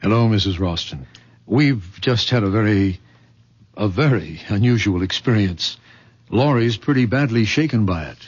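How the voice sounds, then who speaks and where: A man playing an earnest, educated young man in a vintage radio drama, speaking a little haltingly. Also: lowish voice, lively intonation, slightly rough. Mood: shaken, halting. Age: young adult. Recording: dull vintage radio recording.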